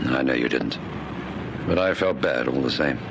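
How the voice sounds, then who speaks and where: A patient, kind-hearted police officer in an old radio play. His voice is extremely deep, with a plain, reassuring delivery. Clear noise runs through the recording. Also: moderate pace. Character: kindly, patient male police warrant officer. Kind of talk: radio drama acting.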